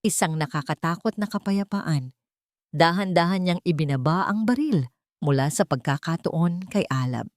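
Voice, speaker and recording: A woman narrating a dramatic audio story in a measured, scripted way. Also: on the low side, no room sound, studio quality. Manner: measured, dramatic pacing with expressive rises.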